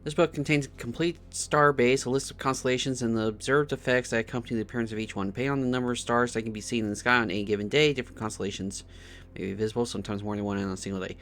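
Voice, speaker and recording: Male game streamer, mid-pitched, casual think-aloud delivery with muttered, repeated words. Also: steady tone, very fast, fairly bright tone.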